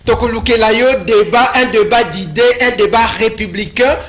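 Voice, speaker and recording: High-voiced Congolese talk show host. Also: male voice, normal speed, fairly bright tone, soft background music. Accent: Congolese French. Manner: prompting with short acknowledgements, mixing French and Lingala. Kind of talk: interviewing a guest.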